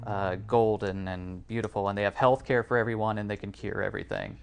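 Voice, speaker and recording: A man's voice: on the low side, steady tone, brisk, gravelly, slight background noise. A man co-hosting a film podcast, talking casually in a panel discussion.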